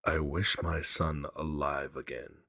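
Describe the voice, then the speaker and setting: A man's voice: extremely low pitch, steady tone, slow pace, pushed and bright, no room sound, studio quality, exaggerated voice. A man voicing a frightened, grieving old father in a story reading, faltering and aghast as he speaks. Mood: fearful.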